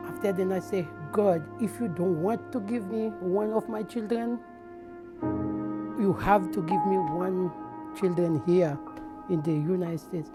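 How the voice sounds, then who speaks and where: Slow-speaking Haitian woman. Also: medium pitch. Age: middle-aged. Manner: earnest, non-native English phrasing. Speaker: documentary interviewee, mother. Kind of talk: personal testimony in a documentary interview. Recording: music under the voice. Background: instrumental music.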